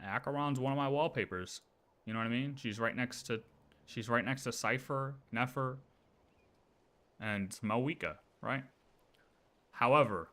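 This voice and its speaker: A man's voice: mid-range voice, bright, forceful tone. A man chatting casually as a game livestreamer, emphatic and slangy, often repeating his phrases.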